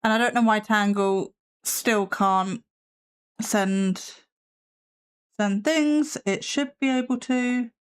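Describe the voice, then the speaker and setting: Sarcastic woman, slow drawl. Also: fairly high pitch, clear, resonant tone. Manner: sassy, skeptical, swears casually. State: losing her voice. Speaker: livestream host reacting to videos. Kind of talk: sarcastic live reaction commentary.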